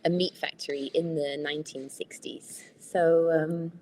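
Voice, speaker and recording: Low-voiced woman from the UK. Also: a little slow, slight background noise, poor audio. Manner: steady, informative, with many fillers. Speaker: managing director of a cultural venue. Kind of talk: guest explaining on a webinar. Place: former factory hall used as a venue.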